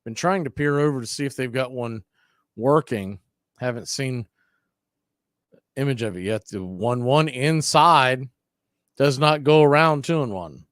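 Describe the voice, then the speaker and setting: A man calling baseball play-by-play for a fan radio stream, mixing pitch calls with blunt opinionated asides. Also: animated, a little slow, pauses often, fairly bright tone, dry recording, studio quality.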